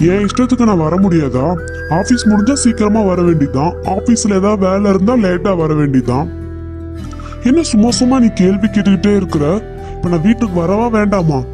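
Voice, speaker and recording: A man's voice: high voice, close to an everyday voice. A man doing a grumpy cartoon husband. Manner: scolding, irritable, repetitive. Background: background music.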